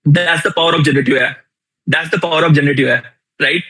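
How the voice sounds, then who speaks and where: A young man with an Indian accent teaches a live workshop with a lot of energy. He repeats his key lines and keeps asking the audience questions. His voice sits slightly high and has a husky edge. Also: rapid-fire, pushed and bright, rough recording. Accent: Indian English. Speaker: workshop instructor. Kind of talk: live online tool demonstration.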